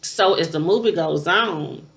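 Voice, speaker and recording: A woman's voice: fairly low pitch, unhurried, fairly bright tone. Casual, emphatic woman in her late thirties. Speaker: vlogger reviewing a movie. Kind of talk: casual unscripted chat to camera.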